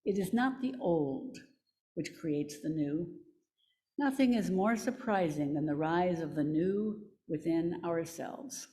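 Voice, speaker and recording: A woman giving a measured, solemn reading as a lay reader at a church service. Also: lowish voice, mellow. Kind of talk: scripture and quotation reading.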